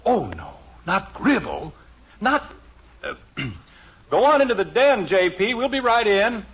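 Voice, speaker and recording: Flustered, animated American sitcom dad. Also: male voice, high-pitched, slow pace, slightly rough, heavily acted. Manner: flustered, commanding, swooping emphasis. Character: exasperated, scheming suburban father of three. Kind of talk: 1950s radio sitcom acting. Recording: muffled, slightly noisy old radio recording.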